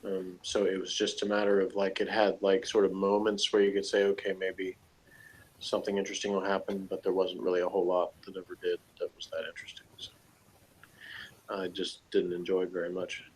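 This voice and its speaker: A man's voice: sits low, monotone, brisk, a little hiss or hum, rough recording. A man speaking as a co-reviewer in a casual, conversational discussion.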